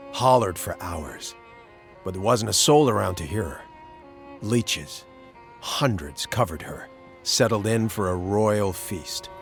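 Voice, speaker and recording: Low-voiced, blunt monster hunter. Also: male voice. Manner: laconic, matter-of-fact, clipped colloquial phrasing. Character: world-weary, blunt male monster-hunting mercenary. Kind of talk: fantasy game dialogue. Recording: background music under the dialogue.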